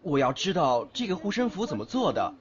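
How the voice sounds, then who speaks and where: A man's voice: fairly high pitch, very animated, fairly quick, crowd noise. A young man playing a caring, playful, coaxing youth in an audio drama. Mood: pleading, evasive. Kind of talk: Chinese audio drama acting. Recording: dull lo-fi audio with music.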